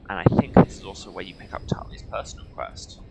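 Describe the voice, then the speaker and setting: Low, gravelly, sarcastic male game streamer. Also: steady tone, fast talker, whispered, low-quality recording. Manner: dry, sarcastic. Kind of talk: casual live gameplay commentary. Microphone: streaming mic with headphones.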